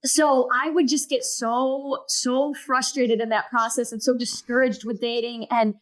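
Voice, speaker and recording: A woman's voice: fairly high pitch, level tone, unhurried. A woman in her thirties, a podcast guest, earnestly telling a personal story and acting out her past thoughts.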